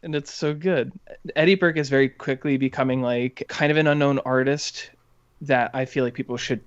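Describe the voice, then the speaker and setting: A young man with a medium-pitched voice talks casually about music as a podcast co-host. His manner is enthusiastic and informal. The recording is a little dull and muffled. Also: fairly even intonation, normal speed, clear, resonant tone.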